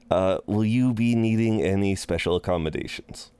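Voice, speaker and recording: A man playing a courteous, chatty incubus innkeeper in an intimate audio roleplay, with polite, hesitant pauses and a flirtatious streak. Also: lowish voice, darkish tone, clean tone. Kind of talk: intimate scripted roleplay acting.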